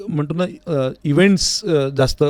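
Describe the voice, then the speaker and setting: A man's voice: a little high, moderate pace, no room sound. A man speaking as an interview guest and music teacher, explaining things earnestly in a reflective, conversational style. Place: recording studio. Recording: dry, close studio sound.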